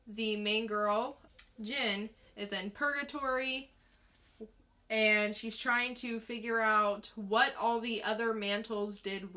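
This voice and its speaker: A woman with a bright, crisp-edged tone speaks at a slow pace. She co-hosts a podcast and delivers her recaps and opinions casually, in plain, informal words. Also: mid-range voice. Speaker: podcast co-host reviewing comics. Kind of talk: casual plot recap and review.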